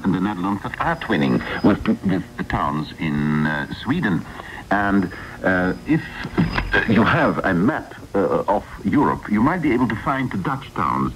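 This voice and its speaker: A man answering questions on radio, explaining in a halting, unscripted way on a shortwave recording. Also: fairly low pitch, a bit bright, a little hiss or hum, low-quality recording. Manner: halting, spells out names. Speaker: radio programme expert answering listeners.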